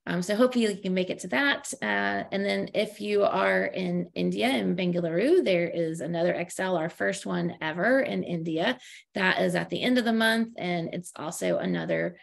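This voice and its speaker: A woman's voice: on the low side, normal speed, gravelly. A woman hosting a webinar, giving announcements in an informal way with frequent fillers over a video call. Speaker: webinar host.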